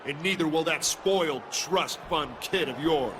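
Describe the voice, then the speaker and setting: A man's voice: on the high side, measured pace, background noise, close to an everyday voice. An actor playing a cocky, coldly threatening male pro wrestler in a video game cutscene. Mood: taunting.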